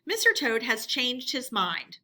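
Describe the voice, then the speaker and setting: Woman voicing a stern, solemn storybook badger, bright and raised. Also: a little high, big pitch swings, a little slow, exaggerated voice. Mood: commanding. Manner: commanding, formal. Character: stern, solemn male badger taking charge. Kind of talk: children's storybook character reading.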